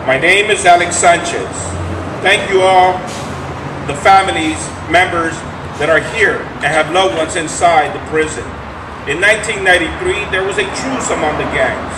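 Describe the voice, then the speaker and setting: A man's voice: high voice, moderate pace, natural voice. A man giving a dramatic reading as an earnest community activist working against gang violence. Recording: echoey room, distant mic, background noise.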